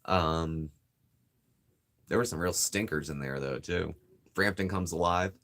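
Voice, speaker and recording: A man with a low voice co-hosting a casual live stream from his living-room love seat, chatting into a phone and greeting viewers. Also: unhurried. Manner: relaxed, chatty, reads out viewer names.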